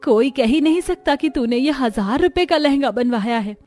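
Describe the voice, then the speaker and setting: A woman's voice: sits high, animated, normal speed, a little mellow, soft background music. A woman voicing an approving, encouraging middle-aged mother in a cartoon story. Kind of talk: Hindi cartoon story dialogue.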